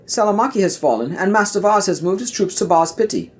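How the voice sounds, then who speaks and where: Guy doing earnest jedi mentor, formal, worried, voice pitched up, audio play spoof. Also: expressive. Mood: formal briefing. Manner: measured, formal.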